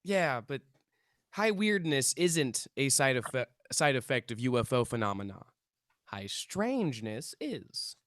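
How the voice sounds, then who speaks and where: A man's voice: mid-range voice, big pitch swings, measured pace, a bit bright, dry recording, clean studio sound. A man playing an intense, earnest young amateur paranormal investigator in a script read, self-important and conspiratorial. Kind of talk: table read of a TV pilot script.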